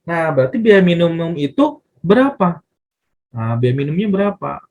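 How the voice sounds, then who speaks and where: Man teaching, very lively swooping pitch. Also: normal speed. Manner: asks and answers own questions, repeats phrases. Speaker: math teacher. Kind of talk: casual step-by-step tutorial explanation.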